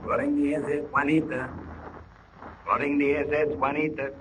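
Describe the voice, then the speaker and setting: An actor playing a terse, commanding criminal boss through a radio loudspeaker effect, in 1940s radio style. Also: male voice, a little high, flat delivery, moderate pace. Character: commanding male criminal boss heard over ship radio. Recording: muffled noisy 1940 radio recording.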